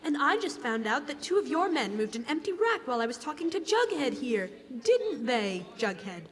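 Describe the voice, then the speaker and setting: A woman's voice: high-pitched, very animated, normal speed. A young woman playing an earnest, complaining teenage girl in a radio comedy on stage. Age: teens or young adult. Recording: echoey live stage hall.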